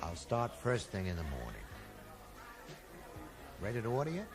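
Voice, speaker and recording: A man's voice: lowish voice, huge pitch swings, normal speed, over music. An actor playing a blunt, suspicious man who probes insistently, in a radio drama. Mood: easygoing, thoughtful. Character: dogged, suspicious male amateur investigator with sense of justice. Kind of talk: 1980s radio drama acting.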